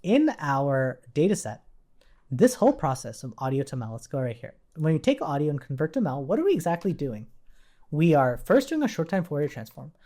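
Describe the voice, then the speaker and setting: Man teaching code, lively swinging pitch. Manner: explanatory, step by step, checks in with rhetorical questions. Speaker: programming instructor. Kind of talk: informal screencast coding tutorial, explaining while typing.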